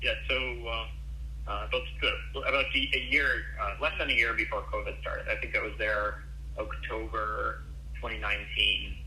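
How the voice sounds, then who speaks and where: A man in his forties from Ukraine. His voice is lowish and very bright, with a slight edge. He answers a radio interview haltingly, with many ums and restarts, over a faint hum. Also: level tone, rough recording. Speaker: interview guest.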